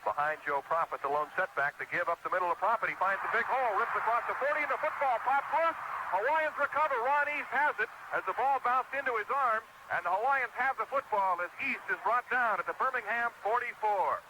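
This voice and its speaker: A vintage 1970s radio broadcast with plenty of noise carries an American man's play-by-play of a football game. His voice sits a little high for a man. He describes the field in crisp, descriptive phrases as the live announcer. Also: fairly quick. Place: stadium broadcast.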